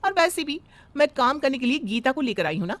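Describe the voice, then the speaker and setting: A sassy, spoiled young bride, played by a woman, cheeky and dismissive. Her fairly high voice moves around a lot. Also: sing-song, brisk, fairly bright tone, a little hiss or hum.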